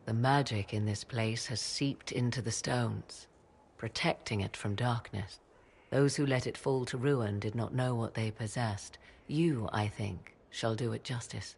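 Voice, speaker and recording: An enigmatic mage who raises her son alone, speaking in a measured, old-fashioned formal manner. Her voice is husky and gravelly. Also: very deep voice, a little mellow, heavily acted. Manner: measured, formal, archaic speech. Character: mysterious aloof mage mother, grave and secretive. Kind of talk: video game cutscene dialogue.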